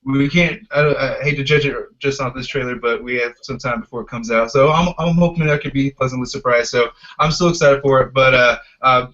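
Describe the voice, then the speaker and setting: A man's voice: medium pitch, steady tone, brisk, hardly any pauses, fairly bright tone. A man hosting a podcast, talking casually about films and steering the discussion. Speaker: podcast host. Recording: dull lo-fi audio.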